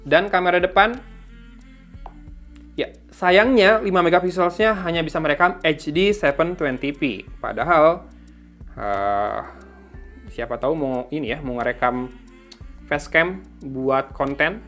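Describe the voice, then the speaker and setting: A man's voice: on the high side, unhurried, pauses often, fairly bright tone. Man reviewing gadgets over music. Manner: informal, thinking aloud while reading out specs. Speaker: tech reviewer. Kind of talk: casual unboxing and review commentary.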